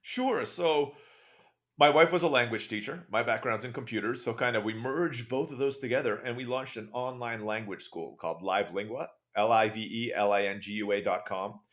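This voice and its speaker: A man with an American accent, guest on a podcast, telling jokey stories in a quick, casual way. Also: mid-range voice, a bit bright. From Turkey. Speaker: guest, online school founder.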